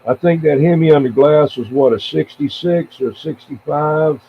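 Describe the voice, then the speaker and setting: An American man in his seventies chatting casually on CB radio in a halting, rambling, reminiscing way. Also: on the high side. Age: 70s. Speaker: CB radio operator and live streamer. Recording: tinny band-limited radio audio with faint noise.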